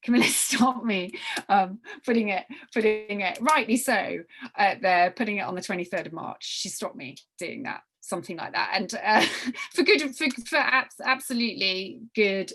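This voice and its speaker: A woman with a mid-pitched voice leads an informal group briefing. She talks in a halting way, restarting and repeating phrases to press her point. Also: a bit bright. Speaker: writing workshop leader. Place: online group video call.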